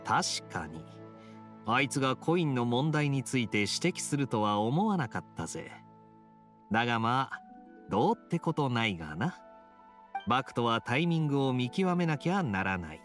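An actor voicing a suave, teasing young knight in a video game, with a smooth, playful, unhurried delivery. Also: male voice, mid-range voice, very animated, a bit bright, soft background music. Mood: amused, scheming. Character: suave, teasing young male knight with sly gambler's wit. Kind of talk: video game dialogue acting.

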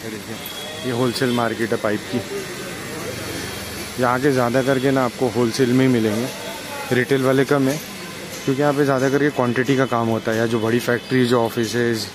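A man's voice: medium pitch, flat delivery, a little fast, pauses often, rough recording. A man with a Mumbai accent giving a casual, informal walking-tour commentary as a vlogger, often repeating himself as he points things out. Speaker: vlogger guiding a market tour. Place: outdoors on a busy market street. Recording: loud street traffic and ambience. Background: traffic and urban street noise.

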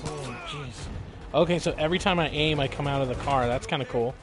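A man giving live gameplay commentary, excitable and jokey, exclaiming and swearing as he plays. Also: on the high side, a little fast. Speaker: game streamer. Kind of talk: live gameplay commentary, reacting and reading chat. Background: video game music, gunfire.

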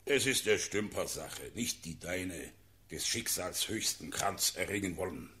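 An actor playing a loyal, blunt old cavalry colonel in a classical verse radio drama, deferential yet declamatory. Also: male voice, low-pitched, animated, a little slow, sharp tone, full character voice. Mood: bold insistence. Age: older.

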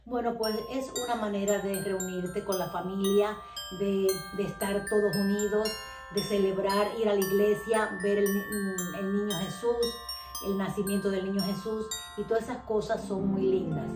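A Cuban woman in her sixties speaks with a Cuban Spanish accent in a chatty, reminiscing tone, recorded by a camera across her living room. The room sounds echoey, and loud music plays behind her voice. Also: medium pitch, steady tone, normal speed. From Cuba. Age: 60s. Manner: reminiscing, chatty, addresses viewers directly with rhetorical questions. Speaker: vlogger. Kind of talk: personal storytelling to camera.

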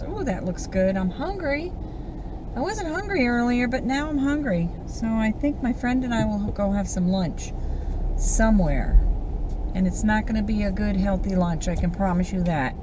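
An older woman, chatting in a rambling, homespun way as she vlogs from her car. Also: medium pitch. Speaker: vlogger. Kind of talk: casual driving vlog narration. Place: inside a moving car. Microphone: camera on the dashboard. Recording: loud road noise in a car. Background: car and road noise.

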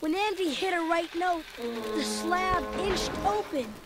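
Woman, storybook narrator, very high voice, loud music underneath. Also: animated, unhurried. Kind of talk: storybook read-along narration.

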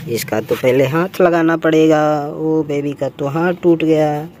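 High-pitched man narrating toy play. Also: fairly even intonation, a little slow, a little mellow, a little hiss or hum. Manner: exclamatory, sing-song, repetitive interjections. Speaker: toy channel presenter.

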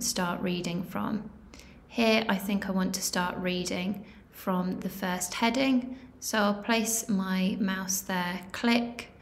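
A woman instructor narrating a software tutorial in a measured, step-by-step manner, with pauses. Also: medium pitch, vocal fry. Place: indoor screen-recording voice-over.

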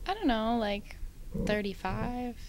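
A woman's voice: fairly high pitch, lively intonation, slow pace. A woman in her twenties giving short, casual interview answers into a handheld microphone. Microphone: handheld microphone held out by interviewer. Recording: clear background noise, clicks.

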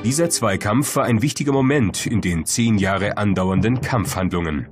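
A man's voice: on the low side, moderate pace, music in the background. A man reading scripted narration as the narrator of a documentary.

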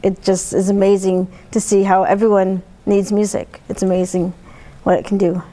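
A woman's voice: medium pitch, little pitch movement, normal speed, warm tone, a little hiss or hum. A woman, talking reflectively in an interview as a music therapist. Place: indoor room.

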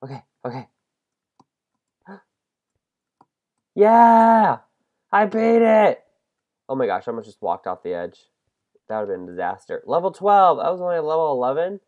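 Congested young male game host, animated, self-deprecating, prone to yelps. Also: a little high, normal speed, pauses often, highs cut off. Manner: excitable, self-deprecating, shrieks at mistakes. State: congested from a head cold. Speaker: gaming channel host. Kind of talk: casual Minecraft let's-play commentary.

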